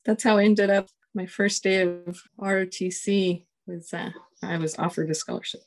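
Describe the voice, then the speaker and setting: Relaxed woman telling an anecdote. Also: lowish voice, normal speed, creaky. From United States. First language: Spanish. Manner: relaxed, chuckles often, acts out quotes. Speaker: guest, Air Force colonel. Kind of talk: casual storytelling interview.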